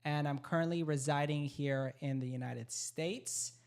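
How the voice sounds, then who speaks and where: A man's voice: a little high, steady tone, a little slow, gravelly, a little mellow, smooth. A young man from Malaysia, a guest entrepreneur in a casual interview, upbeat and confident with light laughs. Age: young adult.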